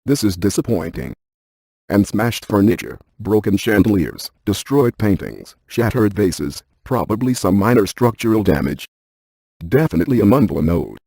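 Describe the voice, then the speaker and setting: Brainy deadpan ghost hunter for a game, lowish smooth-edged mellow voice, very dry close sound. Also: male voice, no echo. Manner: deadpan, precise, technical. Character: deadpan, brainy male ghost hunter, dryly cautious scientist. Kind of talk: scripted video game character dialogue.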